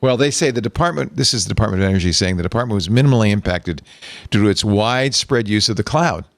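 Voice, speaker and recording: A man's voice: on the low side, expressive, fast, creaky, dry recording. A man hosting a tech podcast, reading out news items with wry asides. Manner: wry, reads out articles with asides. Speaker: podcast host. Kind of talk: casual chat.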